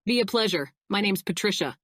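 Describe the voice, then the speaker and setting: A woman's voice: mid-range voice, expressive, brisk, a bit bright, no echo, studio quality. A woman playing a no-nonsense military intelligence officer in an audiobook, matter-of-fact and authoritative. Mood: friendly. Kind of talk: audiobook character acting.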